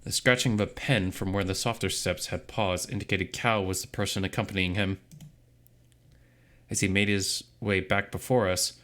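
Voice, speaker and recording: A man's voice: sits low, fairly even intonation, normal speed, fairly bright tone. A man reading dramatic first-person story narration from a visual novel script.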